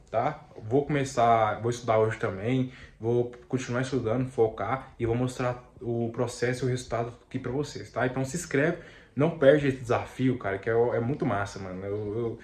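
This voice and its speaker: A man's voice: medium pitch, a little fast, husky. A young man with a Brazilian accent explaining beginner coding step by step, casual and chatty, often tagging lines with "tá?" and "né?". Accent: Brazilian Portuguese. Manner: repeats filler tags like "tá?" and "né?"; slangy, excitable outro.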